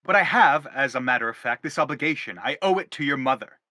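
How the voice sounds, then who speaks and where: A man's voice: mid-range voice, lively intonation, fast, bright, forceful tone, no echo. A man playing a self-justifying middle-aged father in a stage play, philosophizing earnestly in a wordy, declamatory way. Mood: defensive. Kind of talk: theatrical stage-play reading.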